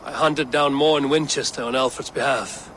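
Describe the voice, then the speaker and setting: An actor playing a stern male Viking raid leader in a video game cutscene, speaking in a grave, measured, commanding way. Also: mid-range voice, steady tone, a bit bright, slight background noise, close to an everyday voice. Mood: resolute.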